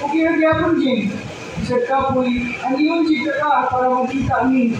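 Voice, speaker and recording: A woman's voice: high-pitched, steady tone, moderate pace, natural voice. A woman playing a young traveler arriving home to a sweetheart in an amateur radio drama. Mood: glad. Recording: echoey, distant, dull, noisy recording.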